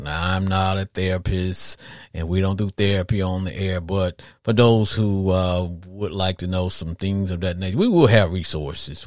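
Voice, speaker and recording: Deep-voiced Southern man hosting a podcast. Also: darkish tone, no room sound, studio quality. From southern United States. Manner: folksy, rambling, repeats phrases for emphasis. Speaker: podcast host. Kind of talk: casual sports talk monologue.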